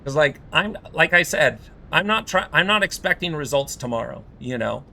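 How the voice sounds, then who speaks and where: A man streaming a game, talking casually, rambling with many fillers while he vents frustration, his voice going hoarse from talking all day. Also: on the high side, expressive, normal speed, sharp tone, a little hiss or hum.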